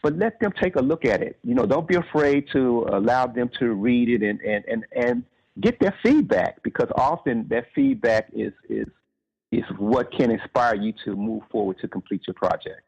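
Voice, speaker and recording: Man in a halting, dull-sounding interview. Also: mid-range voice, animated, gravelly, darkish tone, husky. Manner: halting, repeats words while thinking. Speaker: author being interviewed. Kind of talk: conversational interview, telling anecdotes.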